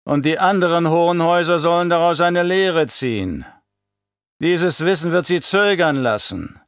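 Guy doing a scheming old baron villain for an audiobook, high silky voice, looks down on everyone. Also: moderate pace, clean tone, no echo, studio quality, close to an everyday voice. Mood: coldly scornful. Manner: silky condescending, theatrical villain delivery. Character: scheming, mocking, imperious older male baron, uncle. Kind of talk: audiobook character acting.

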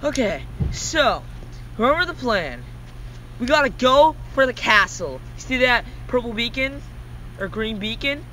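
A man's voice: extremely high pitch, very animated, measured pace, pushed and bright, a little hiss or hum, exaggerated voice. A young man playing a casual, exclamatory hero in an amateur Minecraft roleplay movie. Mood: determined. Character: young male hero leading a zombie-fighting mission.

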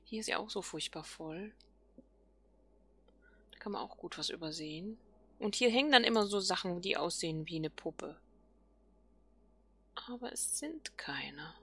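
Woman, casual game commentary, soft half-whispered musing, breathy, slow pace, dry close sound. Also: lowish voice, animated. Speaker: let's player.

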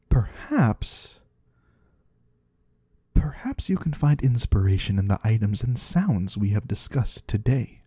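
Slow, mellow, mysterious void trader played by a man. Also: on the low side, husky, no room sound, clean studio sound, full character voice. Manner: slow, formal, soothing. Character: mysterious, courteous otherworldly male trader from the void. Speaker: ASMR performer. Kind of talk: ASMR roleplay, soft-spoken.

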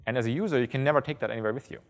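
A man in his thirties giving a conference keynote on stage, talking fast and earnestly, often restarting by repeating a word. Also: mid-range voice. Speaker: keynote speaker. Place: large conference hall on stage. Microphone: headset. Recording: reverberant hall.

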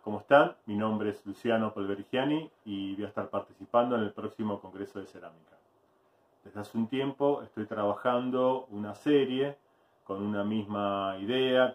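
A man in his forties speaking in a measured, hesitant way with many fillers as he introduces his ceramics workshop from his studio. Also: fairly low pitch. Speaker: ceramic artist. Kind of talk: promotional self-introduction. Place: studio room with ceramic shelves.

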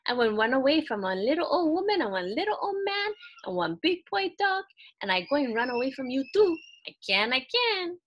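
A woman's voice: high voice, sing-song, brisk, dull audio, a little hiss or hum, pitched above the performer's own voice. A woman voicing a boastful little rice-ball runaway in a children's storybook, taunting in sing-song. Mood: gleeful taunting. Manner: sing-song taunting in Hawaiian Pidgin phrasing.

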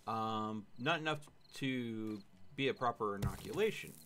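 A man in his thirties with a fairly low voice hosts a game stream from a room at home. He explains things casually in a measured, dry manner. Clear background noise sits behind his microphone. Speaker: livestream gamer and host. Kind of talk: casual gaming chat with explanations.

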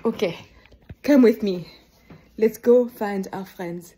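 A woman playing a clumsy, silly French lung doctor for a children's skit, halting, playful and apologetic, with a French accent. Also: medium pitch, warm tone, breathy edge, voice pushed down. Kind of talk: improvised children's show skit.

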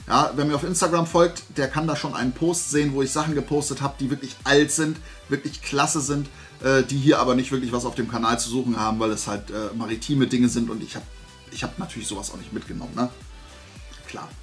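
Middle-aged man, casual rambling haul chat. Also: medium pitch. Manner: rambling, self-interrupting. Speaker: collector presenting flea market finds. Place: indoor room lined with shelves of collectibles.

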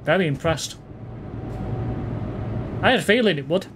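A man's voice: on the high side, normal speed. A man from Wales with a mixed Manchester and Lancashire accent, chatting casually on a live stream while he plays a game and reads viewer comments aloud. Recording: steady noise behind the voice.

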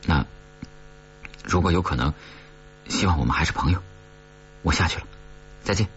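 A man voicing an awkward, earnest, apologetic man in an audiobook, speaking haltingly and politely. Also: low-pitched, a bit bright, lo-fi sound, slight background noise. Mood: sincere, apologetic. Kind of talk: audiobook character dialogue.

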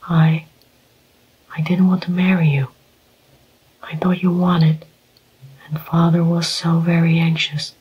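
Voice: medium pitch, flat delivery, takes it slowly, pauses often, breathy edge, lo-fi sound. A reader whispering a timid young bride. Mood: frightened pleading. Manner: trembling, bewildered.

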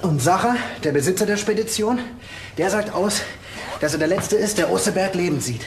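Higher-pitched, dry male police investigator. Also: expressive, brisk, noisy background. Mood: deductive. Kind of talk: crime drama acting in German.

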